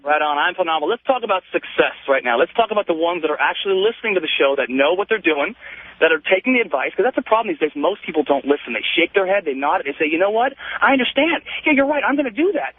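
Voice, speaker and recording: A man rants as a radio caller in a high, lively voice that swings widely. He talks quickly and emphatically, listing his points. His voice is husky, coming through a thin telephone line. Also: dry recording. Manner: emphatic, repeats phrases in lists. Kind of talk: casual opinionated phone-in rant. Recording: tinny phone line.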